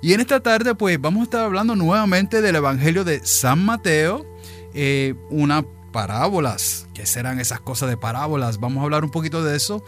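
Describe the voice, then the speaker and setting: Casting: a New York-born man of Puerto Rican descent, a deacon hosting a radio show. Slightly high voice, easygoing and chatty. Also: brisk, a bit bright, soft background music. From New York, of Puerto Rican parents. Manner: chatty, folksy, with frequent "eh" fillers. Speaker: radio program host, deacon. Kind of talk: casual radio talk and Bible reading.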